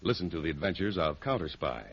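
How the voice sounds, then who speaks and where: A dull vintage radio recording of a male American network announcer. His voice is low, and he moves briskly through scripted promotional copy. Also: lively intonation, breathy edge. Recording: band-limited old radio recording.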